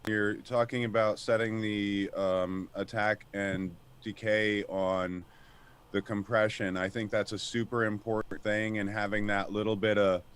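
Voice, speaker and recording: A man with a deep voice speaking as a guest on a casual panel, pausing and restarting as he searches for his words. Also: smooth.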